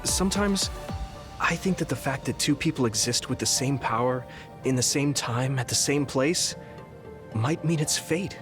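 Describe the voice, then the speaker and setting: An actor playing an earnest, gentle, idealistic young soldier in a video game, talking sincerely and a little hesitantly. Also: male voice, medium pitch, moderate pace, over music, close to an everyday voice. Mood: thoughtful. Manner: sincere, conversational, slightly hesitant. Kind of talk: English video game voice acting.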